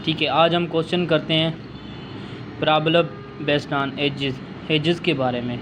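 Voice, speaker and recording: A man's voice: a little high, level tone, unhurried, background noise. A man, patiently explaining an entrance test maths question step by step to his students. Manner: patient, repeats steps and addresses students as dear child. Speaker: maths teacher.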